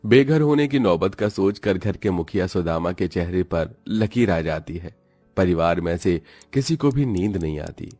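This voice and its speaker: A man narrates a scripted story in a very low voice. The sound is dry, with soft music underneath. His delivery is that of a dramatic storyteller. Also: lively intonation, normal speed, no echo.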